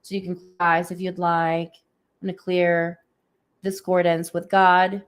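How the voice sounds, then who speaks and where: Soft-spoken, slightly hoarse woman. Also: fairly low pitch, little pitch movement. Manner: soft, unhurried, many fillers. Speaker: energy healer giving readings. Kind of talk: live-streamed spiritual reading. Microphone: phone or webcam on a livestream.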